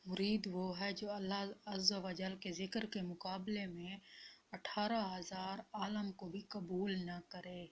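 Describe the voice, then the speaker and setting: A woman reading spiritual quotes as scripted narration, in a measured and deliberate manner. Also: medium pitch, flat delivery.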